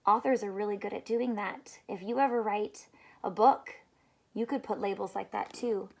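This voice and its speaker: A woman's voice: mid-range voice, steady tone, moderate pace, creaky, a little mellow. A woman teacher reading a storybook aloud to young children, expressively, with questions and asides spoken straight to them. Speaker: elementary school teacher reading aloud to students.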